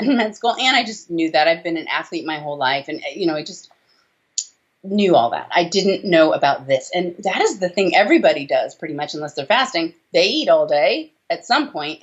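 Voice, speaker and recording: An American woman with a fairly low voice speaks as an expert guest in an interview. She explains emphatically, checking in with short tag questions. The video-call audio is a little dull. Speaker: doctor, interview guest, author. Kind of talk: conversational interview answers on health. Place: small room indoors, on a video call.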